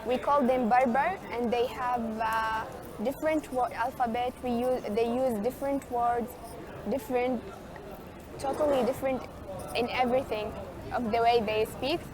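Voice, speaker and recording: Algerian student, woman, slightly high voice, halting answers, crowd noise behind. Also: steady tone. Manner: hesitant, many fillers and restarts. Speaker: interviewee, international student. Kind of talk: casual spoken answers.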